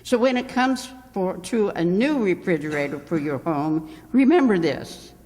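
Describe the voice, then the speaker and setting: A woman's voice: on the low side, animated, a little slow, darkish tone. A woman playing a proud, earnest radio announcer, reading promotional copy in a declamatory old-time style. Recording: reverberant hall stage performance.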